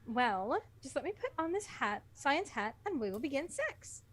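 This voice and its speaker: An earnest, awkward cartoon guy on a date, as a woman reads him. The lines come out low-key and flat, as part of an amateur story reading. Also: normal speed.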